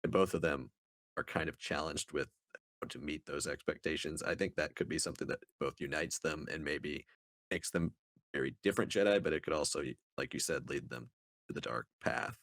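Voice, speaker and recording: A man's voice: extremely low pitch, fairly quick, fairly bright tone, no room sound. A man co-hosting a review podcast, speaking in a casual conversational style. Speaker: podcast co-host reviewing books.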